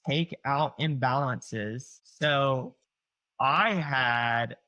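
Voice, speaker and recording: A chiropractor in his forties with a Southern US accent, speaking at an ordinary middle pitch. He explains things conversationally, dropping "like" and "you know" as he goes and slipping into patients' voices as quotes. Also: drawn-out words, bright, forceful tone, rough recording. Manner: explains with casual fillers, acts out patients' quotes. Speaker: chiropractor guest.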